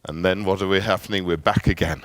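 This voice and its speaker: A man's voice: deep voice, steady tone, fast, very noisy. A middle-aged man with an English accent gives a conference workshop talk in a friendly, chatty way, with asides and filler ums. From England. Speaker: workshop presenter.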